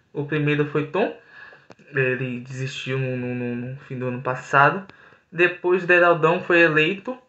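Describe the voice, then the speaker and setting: A man's voice: a little high, sharp tone. A young man giving an earnest solo football analysis to camera, with frequent hesitations and restarts. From Brazil. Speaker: football commentator. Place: small indoor room. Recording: echoey room, slightly dull audio.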